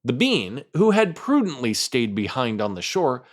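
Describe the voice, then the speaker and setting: A man narrates a children's tale in an expressive read-aloud style. His mid-pitched voice moves animatedly, and his phrasing is careful and theatrical. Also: measured pace. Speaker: storyteller.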